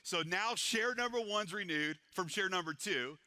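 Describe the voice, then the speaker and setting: A man presents to a live audience with an energetic sales pitch, speaking in a slightly high, very bright voice. The sound is dry and close, with no room echo. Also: normal speed. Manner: energetic salesman pitch, repeats "it's powerful". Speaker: presenter at a business event.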